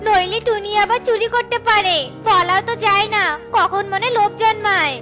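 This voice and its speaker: A woman's voice: very high-pitched, steady tone, moderate pace. A woman voicing a spiteful, suspicious female crow in a children's cartoon, accusing and snide. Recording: loud children's music underneath.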